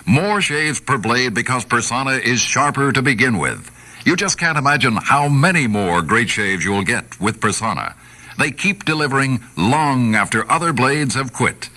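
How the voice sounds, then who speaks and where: A man's voice: medium pitch, pushed and bright. A man reading a 1960s radio commercial as an announcer, with a polished, persuasive sales delivery. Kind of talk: 1960s radio advertising read.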